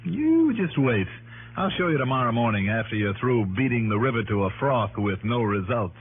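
An actor playing an easygoing, confident investigator with an American accent in a 1960s radio drama. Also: male voice, medium pitch, very animated, normal speed, very noisy. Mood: easy banter. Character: easygoing, confident male investigator narrating his case. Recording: tinny old radio recording.

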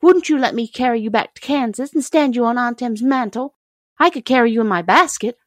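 A woman's voice: a little high, fairly quick, no room sound, voice pushed up, natural voice. A woman plays a kind young girl in a storybook. Her voice is raised and girlish, and she talks in a polite, earnest way. It sounds close and dry. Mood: admiring.

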